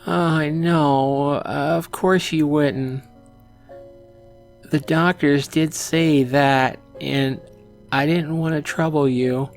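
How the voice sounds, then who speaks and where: A man voicing a worried, guilt-ridden middle-aged mother in a visual novel, speaking haltingly and gently. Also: on the high side, fairly even intonation, vocal fry, soft background music, voice pushed up. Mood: worried, hesitant. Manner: halting, gentle, anguished delivery.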